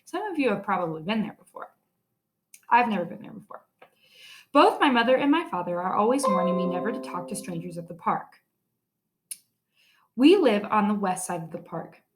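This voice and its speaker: Woman, mid-range pitch, teacher, expressive storybook read-aloud, emphatic key words. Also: normal speed, pauses often, a little hiss or hum.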